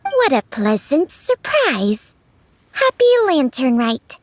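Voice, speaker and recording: A woman's voice: sits high, wildly swooping pitch, slow pace, no room sound, exaggerated voice. A woman voicing a childlike clinic helper in a video game, with a small voice. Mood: flat, pleasant.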